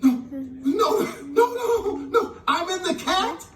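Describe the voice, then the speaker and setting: Middle-aged jazzman, storybook character, exclamatory, anxious, very lively pitch, distant roomy sound, faint music. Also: slow pace, full character voice. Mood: panicked. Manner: exclamatory, pleading, repeats himself.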